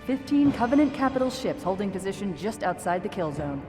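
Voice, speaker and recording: A woman's voice: medium pitch, sing-song, a little fast, lightly acted. An actress playing a composed female artificial intelligence in a video game, reporting efficiently in military fashion. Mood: alert reporting. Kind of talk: video game cutscene acting. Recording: long reverb, music behind.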